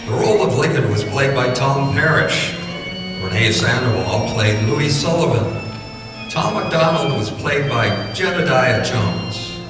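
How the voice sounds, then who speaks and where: A man's voice: medium pitch, expressive, measured pace. A man with an American accent doing old-time radio announcing and ad reading. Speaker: announcer and Foley artist. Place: performing arts center stage. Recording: echoey hall, distant mic, faint music.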